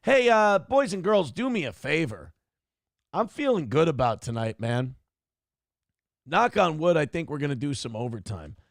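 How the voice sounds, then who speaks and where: Gravelly, lively-voiced male streamer. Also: fairly high pitch, moderate pace, dry recording, studio quality. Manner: profane, reads donor messages aloud. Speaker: streamer and show host. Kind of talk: casual livestream commentary.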